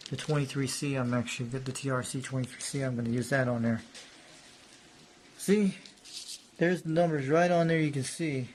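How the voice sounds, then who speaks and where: A man's voice: mid-range voice, moderate pace, pauses often, darkish tone. A man presenting a casual, unscripted hobby show-and-tell in a rambling, repetitive way. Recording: noticeable background noise.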